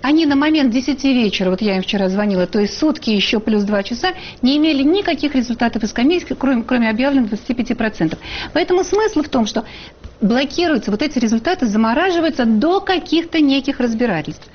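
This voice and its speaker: A woman's voice: high voice, animated, fast, dull audio, slight background noise. A woman journalist hosting a heated studio debate, pressing and interrupting her guests. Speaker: talk show host and journalist.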